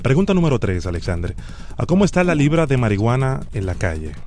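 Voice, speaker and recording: A man's voice: medium pitch, very animated, fast talker, over music, natural voice. A man playing a formal, bureaucratic immigration officer in a prank phone call, sternly polite and officious. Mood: solemn. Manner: formal, officious, repeats the name.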